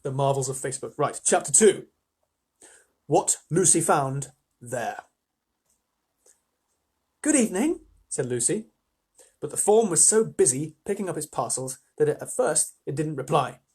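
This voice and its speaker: Guy reading a kids story out loud, bit husky, lightish voice, chatty asides. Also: on the high side, lively intonation, moderate pace. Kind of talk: expressive children's story reading with casual asides.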